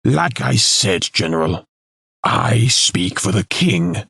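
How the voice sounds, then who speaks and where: An actor playing a self-important, scheming noble lord in an audio drama, with formal, measured, pompous delivery. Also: male voice, fairly low pitch, sing-song, vocal fry, fairly bright tone, slightly rough, no room sound, studio quality, heavily acted. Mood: curt, self-satisfied. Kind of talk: scripted audio drama acting.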